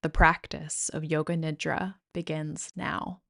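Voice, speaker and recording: A woman guiding a yoga nidra meditation in a soothing, gentle, measured way with pauses. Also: sits low, flat delivery, gravelly. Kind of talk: guided meditation narration.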